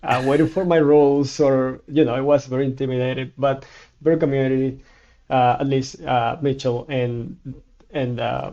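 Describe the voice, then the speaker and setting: A man in his thirties from Cuba with a Cuban Spanish accent, a native Spanish speaker talking haltingly as a guest in a casual interview, with many restarts. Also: medium pitch, steady tone, mellow, dull audio.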